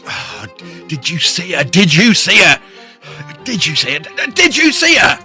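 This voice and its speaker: An actor playing a terrified landlord in a ghost story audio drama, stammering and repeating himself. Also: male voice, high voice, big pitch swings, unhurried, bright, forceful tone, faint music behind, exaggerated voice. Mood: frantic. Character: terrified, babbling male landlord witnessing a ghost.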